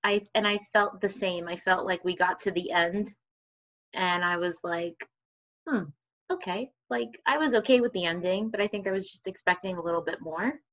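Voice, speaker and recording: Woman co-host for a book chat, mid-range voice, casual, thinks out loud, repeats herself. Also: medium pitch, normal speed.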